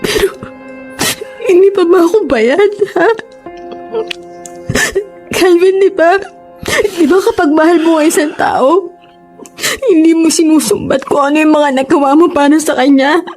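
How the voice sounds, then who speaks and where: A woman's voice: extremely high pitch, fairly even intonation, unhurried, pauses often, a little mellow, soft background music. A woman acting a hurt, remorseful young mother in a Filipino radio drama, emotional and pleading. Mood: hurt, weary.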